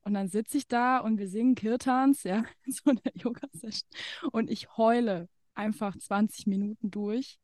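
A woman's voice: a little high, moderate pace, darkish tone, no echo, studio quality. Chatty woman hosting a podcast. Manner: chatty, laughs often, many fillers. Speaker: podcast host. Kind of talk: casual interview chat.

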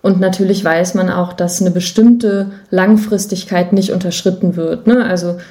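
A woman's voice: lowish voice, steady tone, normal speed, warm tone. A woman from Germany speaking casually and reflectively as an interview guest, thinking aloud as she goes. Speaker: guest, musician and songwriter.

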